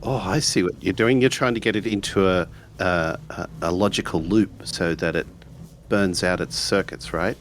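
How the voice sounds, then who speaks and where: A man's voice: low-pitched, normal speed, lightly acted. A man playing a defiant, protective boy in a tabletop roleplay, speaking with stubborn indignation. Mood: sceptical. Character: defiant antisocial boy protective of his friends. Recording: faint background noise.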